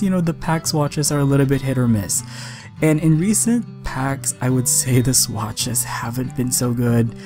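Chatty, slightly raspy young man over guitar music. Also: mid-range voice, a little mellow. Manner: chatty, enthusiastic. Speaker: gaming video creator. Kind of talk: casual voice-over commentary on a game speed build.

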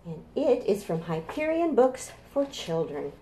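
Slow, animated woman reading storytime. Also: medium pitch, a little hiss or hum, rough recording. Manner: expressive, drawn-out emphasis. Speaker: children's librarian, storytime host. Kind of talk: animated picture-book reading for preschoolers. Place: home kitchen. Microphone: camera or phone a short distance away.

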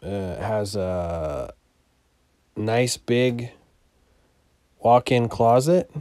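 A man giving a casual, unscripted property walkthrough as a landlord, in a matter-of-fact, halting way that trails off. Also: fairly low pitch, expressive, a little mellow, no echo. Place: inside an empty mobile home, starting on the porch.

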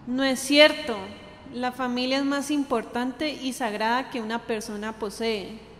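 A young woman playing an earnest, anguished sister in an amateur radio drama, with a halting, plaintive delivery. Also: on the high side, fairly even intonation, lightly acted. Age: young adult. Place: indoor green-screen studio. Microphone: handheld dynamic microphone shared close up. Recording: reverberant hall-like echo.

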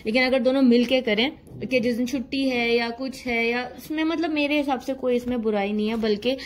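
A woman's voice: on the high side, fairly even intonation, brisk, a little hiss or hum. A woman from Pakistan vlogging in a teasing, chatty way, filming on her phone at home and in a car. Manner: teasing, chatty, laughs often. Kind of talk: casual chat and vlog narration. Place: home kitchen, then a car at night.

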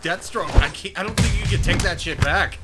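A man voicing an indignant, goofy masked bat vigilante in an improvised comedy fan dub, whiny and halting. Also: sits high, lightly acted. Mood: bemused. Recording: loud music over the voice.

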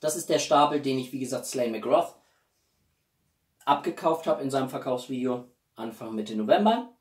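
A man in his forties chatting casually as a video blogger, with halting speech and enthusiastic asides. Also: medium pitch, lively intonation. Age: 40s. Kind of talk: casual chatty vlog presenting gifts. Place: small living room, indoors. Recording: roomy echo, distant microphone.

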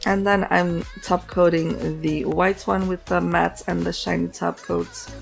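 Raspy, hoarse woman with a gravelly voice. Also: lowish voice, a little slow, soft background music. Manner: relaxed, chatty, many fillers. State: hoarse from a cold. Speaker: nail art tutorial host. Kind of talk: casual voice-over tutorial narration.

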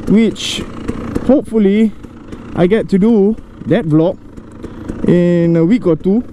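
A man giving casual vlog commentary as he rides a motorcycle outdoors, talking haltingly in phrases broken by pauses. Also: high voice. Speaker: motovlogger and rider. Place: outdoors, riding a motorcycle on roads. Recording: loud motorcycle engine and road noise. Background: motorcycle engine, traffic.

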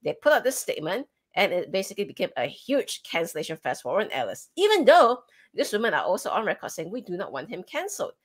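A woman's voice: medium pitch, sing-song, fast. A woman co-hosting a talk show, giving emphatic, opinionated commentary in a conversational way. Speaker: co-host of a comics talk show. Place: indoor room, on a video call.

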